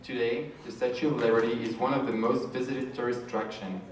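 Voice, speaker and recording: A man's voice: mid-range voice, steady tone, a little fast. A man reading a scripted informational presentation aloud as a student presenter. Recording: echoey room, distant mic, noisy.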